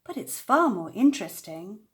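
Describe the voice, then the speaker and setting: A woman voicing a bold, eager little witch girl in an expressive, earnest storybook read-aloud. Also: mid-range voice, lightly acted. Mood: cheerful, determined. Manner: deliberate, expressive storybook delivery.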